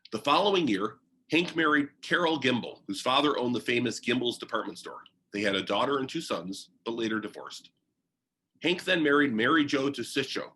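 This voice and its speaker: A man's voice: mid-range voice, pushed and bright, rough recording. Man reading a biography aloud. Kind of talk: read-aloud narration of a children's biography.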